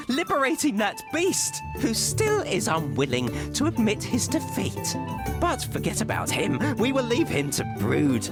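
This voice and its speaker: Smurf poet narrator, rhyming storybook style, theatrical and singsong, huge pitch swings, slow delivery, guitar music behind. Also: male voice, heavily acted. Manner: theatrical, singsong, trails off into bashful asides. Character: cheerful male Smurf poet, small blue storyteller. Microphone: studio voice-over. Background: gentle guitar music.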